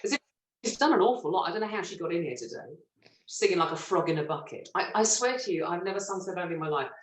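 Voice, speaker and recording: A middle-aged woman from Britain, a stage actress telling lively, self-mocking stories in an interview and laughing often. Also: fairly low pitch, quick pace. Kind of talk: relaxed anecdotal interview chat. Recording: roomy echo.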